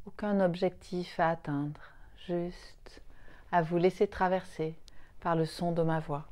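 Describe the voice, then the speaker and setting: Female meditation guide, low-leaning dark soft tone, soothing, very slow delivery in short phrases. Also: steady tone. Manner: soothing, unhurried, with long pauses between short phrases. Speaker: yoga nidra guide.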